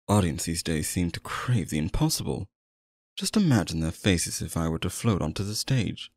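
Guy doing a creepy charming magician for asmr roleplay, low voice that swoops around, flowery n coaxing. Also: a little mellow, no room sound, clean studio sound, exaggerated voice. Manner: flowery, genteel, theatrical, coaxing. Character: sinister, flattering male stage magician, courtly and obsessive. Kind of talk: ASMR roleplay, theatrical monologue to the listener.

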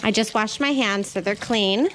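A woman's voice: mid-range voice, moderate pace, a bit bright. A woman giving a casual, informal how-to narration in a home kitchen, explaining each step as she works. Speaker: homesteader demonstrating a recipe. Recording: clear background noise, clicking kitchen sounds.

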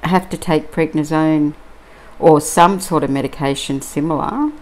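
A middle-aged Australian woman chatting casually and musing aloud, with many pauses and ums, while she crafts at a table indoors. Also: sits low, a little mellow, low-quality recording. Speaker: crafting vlogger.

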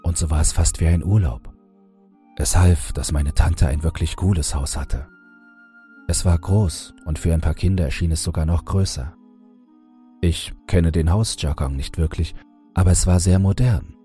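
A man reading a scripted horror audiobook in a measured, dramatic storytelling manner. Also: deep voice, fairly even intonation, warm tone. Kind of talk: scripted creepypasta audiobook narration. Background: background music.

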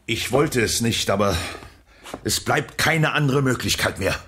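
A man's voice: mid-range voice, expressive, a little slow, sharp tone, a little hiss or hum. A man playing a grave, resolute military general in a children's science-fiction audio drama, speaking with dramatic emphasis.

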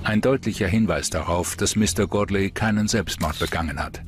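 A man with a very low voice delivers scripted true-crime narration as a documentary narrator. Music plays clearly behind him. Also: normal speed.

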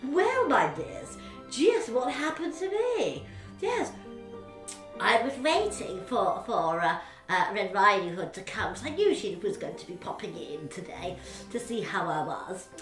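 Woman acting as chatty old granny, hesitant, slow, swooping intonation, children's theatre, music behind. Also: medium pitch, very animated, a bit bright, distant mic. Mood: rambling. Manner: hesitant, slow, rambling, oh-dear exclamations. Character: doddery, chatty, kindly old granny from fairy tale. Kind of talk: interactive children's storytelling theatre. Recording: echoey room with background music.

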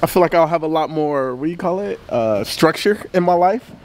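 A man's voice: a little high, lively intonation, a little fast, a little mellow, slight background noise. A man in his thirties giving a casual, joking house tour, talking in loose slang. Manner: laid-back, joking, slangy. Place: large house, indoors and by the pool. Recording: echoey rooms with music behind.

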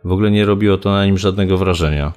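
Halting man with a low voice. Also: a little mellow, soft background music. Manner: halting, searching for words. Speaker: local media reporter, interviewee. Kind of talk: spontaneous interview recollection.

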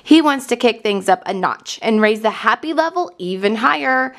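A woman reading a children's storybook aloud in an excited, expressive manner and enunciating for young listeners. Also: on the high side, moderate pace.

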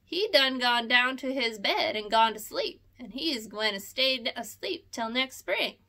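A jolly old possum with a drawling, folksy way of talking, teasing and chuckling as he speaks, put on by a woman reading a storybook aloud. The voice sits fairly high and sounds very bright and forceful. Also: animated, unhurried, creaky, exaggerated voice.

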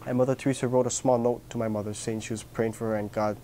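A man's voice: medium pitch, level tone, quick pace, mellow, a little hiss or hum. An earnest young man from Hawaii talks sincerely in an interview, his thoughts broken by fillers and restarts. He is recorded outdoors on a garden patio, with a faint ambience behind his voice. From Kauai, Hawaii. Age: young adult. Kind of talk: reflective personal interview.

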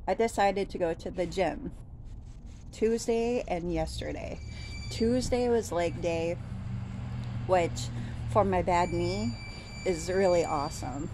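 A woman in her thirties chatting casually on a walk-and-talk vlog, rambling with lots of fillers and restarts, filmed on a phone at arm's length outside a store. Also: lowish voice. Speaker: vlogger. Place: outdoors by a store, daytime. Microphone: phone held at arm's length. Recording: outdoor phone recording with traffic noise.

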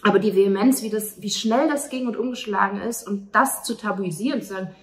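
A woman's voice: mid-range voice, a little fast. A woman in her thirties answering an interview in an earnest, reflective, conversational way into a handheld microphone. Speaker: playwright and actress. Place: indoors at a restaurant table by a window. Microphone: handheld microphone held by interviewer.

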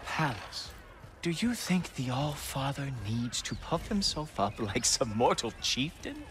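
An actor playing an arrogant god in a video game, mocking with drawn-out sarcasm. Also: male voice, medium pitch, very animated. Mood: condescending. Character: arrogant, sneering male god, watchman of the gods. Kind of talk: video game character acting. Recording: loud game music behind.

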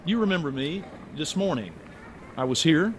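An actor playing a blunt, dogged writer in a radio drama, speaking in a direct, questioning and insistent way. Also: male voice, on the high side, lively intonation, moderate pace, noisy background, natural voice. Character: dogged, blunt American writer probing a friend's death.

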